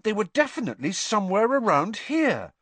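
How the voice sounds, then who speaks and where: A man's voice: sits high, wildly swooping pitch, brisk, no echo, pitched above the performer's own voice, full character voice. A man voicing an urgent, panicky teenage schoolboy hero in an audiobook, breathless and insistent. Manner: breathless, shouting, stammering, insistent. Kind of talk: audiobook character dialogue.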